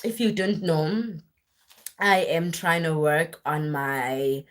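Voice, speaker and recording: A young woman with a husky voice, worn deeper than usual, chatting casually and at length on a live stream, with lots of fillers and restarts. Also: steady tone, slow pace. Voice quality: husky, deep voice. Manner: rambling, filler-heavy, self-correcting. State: voice worn and deeper after a weekend of church singing.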